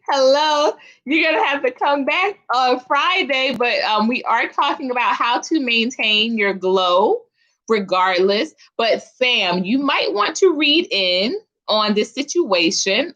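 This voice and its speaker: A woman's voice: high voice, fairly bright tone, muffled recording. A woman in her forties hosting a live stream, chatting casually and informally with her viewers as if among girlfriends. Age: 40s. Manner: chatty and informal, addresses viewers as sis and honey. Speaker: host of a live chat stream.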